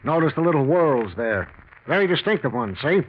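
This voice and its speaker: Confident American detective, 1940s radio play. Clipped, pointed questioning, slightly high voice, dull vintage sound. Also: male voice, very animated, fairly quick. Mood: pointed, sure. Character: brisk, confident male police detective booking a suspect. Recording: muffled, faintly noisy 1940s radio recording.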